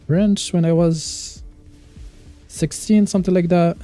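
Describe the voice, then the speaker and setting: Dark-voiced man streaming a game. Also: high voice, fairly even intonation, faint music behind. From Morocco. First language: Moroccan Arabic. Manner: thinks aloud, chats with viewers, halting non-native phrasing. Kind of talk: casual live-stream gameplay commentary.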